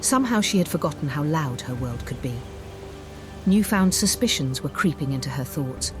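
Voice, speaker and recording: A woman storyteller reading scripted fantasy narration in a measured, theatrical way. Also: deep voice, animated. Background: music bed.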